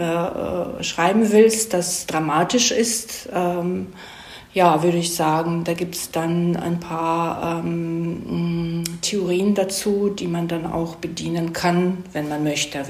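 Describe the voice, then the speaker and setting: Female guest, lower pitch, casual explanatory talk, hesitant, thoughtful, self-correcting, strong room echo. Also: darkish tone. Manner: thoughtful, hesitant, self-correcting, seeks agreement with tag questions. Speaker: interview guest, screenwriter. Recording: roomy echo.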